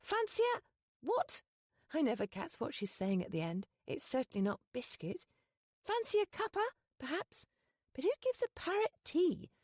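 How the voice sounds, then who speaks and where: Brief: woman playing a flustered, earnest young lady, high and fluttery, lively swings, audiobook. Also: moderate pace, no echo, studio quality.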